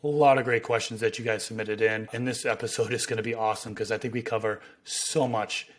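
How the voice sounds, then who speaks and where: A man in his thirties hosting a gardening podcast from a home room on a boom-arm studio mic, his voice hoarse and a bit raspy, reading sponsor spots in an upbeat way. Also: fairly even intonation, brisk. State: hoarse, slightly raspy from smoke. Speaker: podcast host. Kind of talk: casual podcast chat and sponsor reads.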